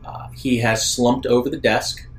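A man narrating an improvised sci-fi tabletop roleplaying game as game master, describing scenes in a halting, deliberate way. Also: mid-range voice, little pitch movement.

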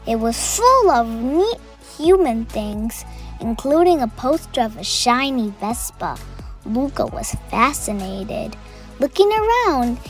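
A young girl reading a picture book aloud and chatting about the pictures to her viewers. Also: on the high side. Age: child. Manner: reads text loudly, comments softly to viewers. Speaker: storybook reader.

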